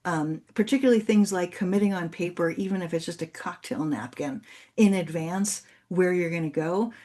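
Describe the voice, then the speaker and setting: A woman's voice: lowish voice, dark tone. A woman who is a native Spanish speaker, presenting and answering questions as an articulate, explanatory university speaker. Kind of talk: conference presentation and Q&A.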